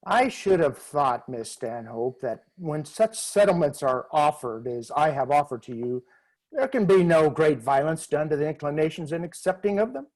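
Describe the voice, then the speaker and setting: Casting: man playing a haughty suitor, formal and slightly halting, voice a touch high. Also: expressive, a little mellow, rough recording. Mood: lecturing. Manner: stiff, formal, with small stumbles. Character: stiff, pompous gentleman suitor, Mr Watts. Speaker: amateur reader in a dramatic reading. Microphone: video call.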